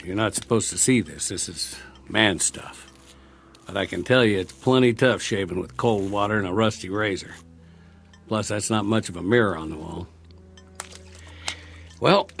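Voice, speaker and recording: An actor playing a war-weary, talkative soldier in a radio drama, rambling and wry, lonely and eager to please, stammering when eager. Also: male voice, fairly low pitch, unhurried, creaky, long pauses, fairly bright tone, soft background music. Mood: sheepish, friendly.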